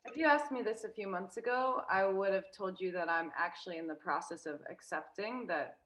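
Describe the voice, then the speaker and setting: A woman's voice: mid-range voice, a little fast, fairly bright tone, rough recording. A young woman, a guest in a casual interview, talking hesitantly with many fillers and restarts. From United States.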